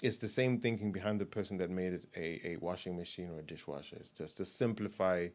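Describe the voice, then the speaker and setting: A man from Zimbabwe, a sound engineer, talking as a podcast guest in a reflective, measured way in a studio. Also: deep voice. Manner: reflective, measured, trails off softly. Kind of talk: casual interview chat.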